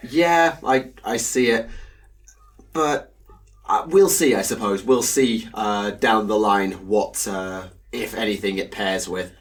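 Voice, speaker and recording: A young man co-hosting a casual podcast, talking haltingly with plenty of ums and uhs. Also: lowish voice. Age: young adult. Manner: hesitant, lots of ums and uhs. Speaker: podcast co-host. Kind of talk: casual chat.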